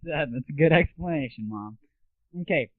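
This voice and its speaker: A man's voice: on the high side, expressive, brisk, no echo. Young man hosting, tinny phone-like sound. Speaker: show host and interviewer. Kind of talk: casual interview and chat for a gaming audio show. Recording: tinny, phone-like, band-limited 1990s internet audio.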